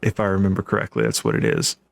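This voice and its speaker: A man's voice: low-pitched, sing-song, creaky, slight background noise. A man hosting a casual chat show, talking in an easygoing, jokey way. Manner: easygoing, jokey, quick to chuckle. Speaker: podcast host.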